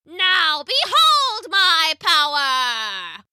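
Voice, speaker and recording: A woman voicing an ancient, menacing storybook witch who croaks and taunts in a slow, drawn-out way. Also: very high-pitched, huge pitch swings, bright, forceful tone, no room sound, clean studio sound, voice pushed up, exaggerated voice. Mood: triumphant. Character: ancient crone witch, menacing, gloating, cackling villain. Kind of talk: storybook villain voice.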